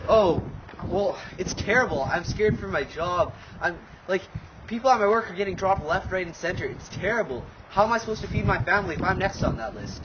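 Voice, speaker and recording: Man as worried 1930s working man, higher voice, very echoey. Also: animated, a little fast, background noise. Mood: anxious. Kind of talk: amateur radio drama interview acting. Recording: very echoey, distant, dull recording.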